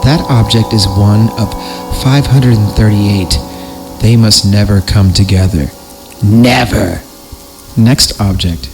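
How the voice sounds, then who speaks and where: A man's voice: on the low side, takes it slowly, darkish tone, rough recording. A man hosting a podcast, reading creepypasta horror stories in a comedic dramatic style with wry joking asides, over music.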